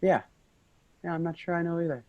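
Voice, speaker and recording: A man's voice: a little high, fairly quick, warm tone, dry recording, muffled recording, lightly acted. A man playing an earnest, rambling office worker, tipsy and brooding, in a staged play reading. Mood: tipsy, musing.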